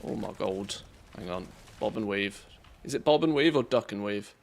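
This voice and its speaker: A man with an English accent giving relaxed, chatty commentary on a live game stream, reacting to play and greeting viewers. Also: on the low side, moderate pace, vocal fry, slight background noise. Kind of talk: casual live-stream commentary while gaming.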